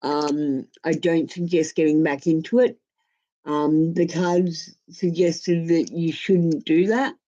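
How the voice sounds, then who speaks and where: Low-voiced, slow-talking woman reading tarot. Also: steady tone, warm tone, dull audio. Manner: blunt, informal, sweary. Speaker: tarot reader and live stream host. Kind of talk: casual live tarot reading, giving advice.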